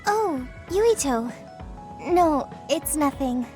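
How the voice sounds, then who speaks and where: A woman's voice: high voice, animated, drawn-out words, darkish tone, soft background music, full character voice. A woman voicing an earnest, self-doubting teenage girl soldier in an anime-styled video game, hesitant and sincere. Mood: downcast.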